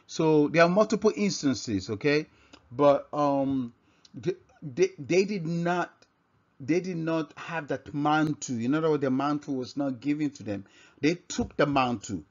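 A man with a Nigerian accent giving an informal scripture lesson as a religious teacher, halting and often repeating and rephrasing himself. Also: medium pitch. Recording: dull, lo-fi microphone sound.

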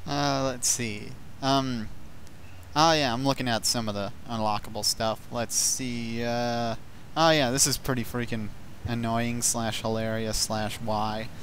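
A man's voice: medium pitch, fairly even intonation, measured pace, a bit bright, slight background noise. A man in a casual chat about a video game, with sarcastic, foul-mouthed banter.

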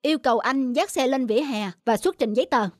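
A woman's voice: high-pitched, animated, fairly quick, dry recording, studio quality. A woman voicing a dutiful young male cartoon policeman, formal and firm. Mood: stern, official. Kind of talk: cartoon dubbing. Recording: music under the dialogue.

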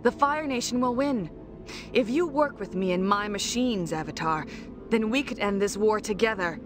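A woman plays a young cartoon villainess, proud and determined. She speaks in a measured, threatening way at a middling pitch, with dramatic music behind her. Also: lively intonation, close to an everyday voice. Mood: persuasive, grave. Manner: measured, menacing. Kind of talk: cartoon game voice acting.

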